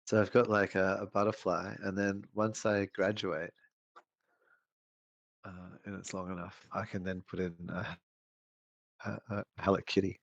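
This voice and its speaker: Low-voiced man rambling casually. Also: little pitch movement, normal speed, creaky, long pauses, no echo, dull audio. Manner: rambling, hesitant, lots of restarts. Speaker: co-host. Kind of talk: casual absurd chat. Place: home setup, streamed show.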